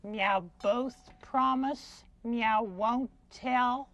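A man voicing a small, timid, secretive female cat puppet for a children's television show, meowing between words in short, halting phrases. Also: extremely high pitch, a bit bright, heavily acted. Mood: anxious, secretive.

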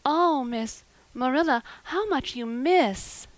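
Woman voicing an eager, high-pitched orphan girl. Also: very animated, a little fast, dry recording. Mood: amazed. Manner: effusive, earnest, wordy. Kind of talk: audiobook character voice.

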